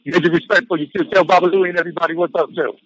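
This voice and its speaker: Higher-pitched man talking casually on the phone. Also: fairly even intonation, rapid-fire, fairly bright tone, slightly rough. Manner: emphatic, repeats himself, slangy. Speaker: interview guest. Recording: phone call audio.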